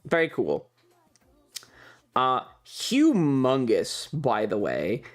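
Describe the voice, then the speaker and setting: A man's voice: mid-range voice, wildly swooping pitch, extremely slow. A man streamer casually recounting a trip in a rambling, sweary, amused way, with music behind him.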